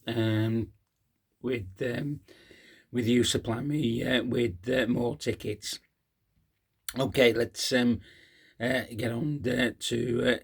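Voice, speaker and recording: A hoarse man, hosting a casual post-match fan talk, halting with many ums and uhs. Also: mid-range voice. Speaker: fan channel host.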